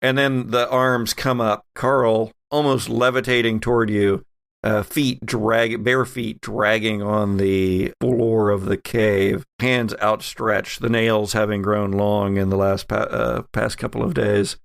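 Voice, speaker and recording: A man runs a horror tabletop game, narrating in a low, gravelly voice. He takes his time, halting mid-phrase as he builds each scene, and sounds close and dry. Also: flat delivery, dry recording. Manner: halting, pausing mid-phrase to find words. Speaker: game master.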